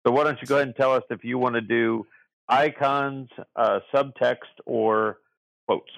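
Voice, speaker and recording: Gravelly podcast co-host. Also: male voice, medium pitch, fairly even intonation, normal speed, a bit bright, no room sound, highs cut off. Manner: rambling, lots of fillers and restarts. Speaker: podcast co-host running trivia. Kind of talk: casual podcast chat.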